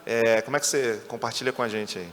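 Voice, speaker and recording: Man moderating a panel, bright tense tone, long hall reverb. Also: mid-range voice, fairly even intonation, fast talker. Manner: conversational, leading questions with frequent "né". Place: conference stage.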